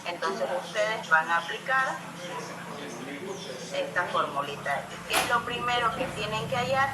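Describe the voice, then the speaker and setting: A woman teaching statistics, explaining step by step and checking often that her students follow. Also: medium pitch. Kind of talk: classroom lesson. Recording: echoey room with loud music behind.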